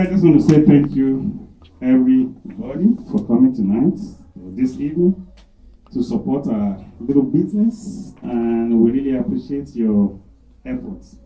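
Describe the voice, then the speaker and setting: A man with a deep voice telling a comic story to a small crowd at his business event. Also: echoey room, dull audio. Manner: lively, animated storyteller. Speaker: business owner and amateur comedian.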